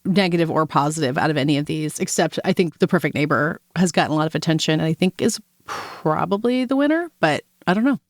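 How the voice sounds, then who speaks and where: A woman's voice: low-pitched, lively intonation, quick pace, hardly any pauses, no echo, clean studio sound. A woman co-hosting a casual film awards discussion, talking in a chatty, analytical, conversational way. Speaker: podcast co-host.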